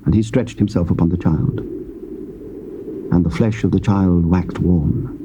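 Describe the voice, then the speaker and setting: A man narrating scripture in a measured, stately way in a dull, lightly hissy old tape recording. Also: sits low, steady tone.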